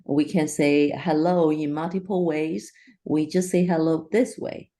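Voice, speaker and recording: A woman's voice: sits low, steady tone, unhurried, warm tone. A woman teacher speaking hesitantly with fillers in a group discussion.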